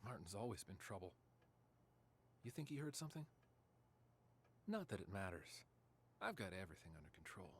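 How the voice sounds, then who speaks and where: A man's voice: on the low side, very animated, a little fast, pauses often, a little hiss or hum. A man playing a corrupt, self-assured senior police officer in an audio drama. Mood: controlled, assured.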